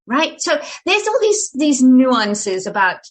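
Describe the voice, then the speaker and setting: Animated South African woman, theatrical. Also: high-pitched, takes it slowly. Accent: South African with an English-sounding polish. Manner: animated and theatrical, with frequent restarts. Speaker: guest. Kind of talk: conversational interview.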